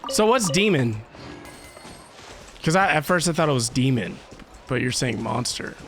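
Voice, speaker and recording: A man's voice: mid-range voice, very animated, normal speed, fairly bright tone, soft background music. A man giving casual, offhand reactions and asides as he streams a video game.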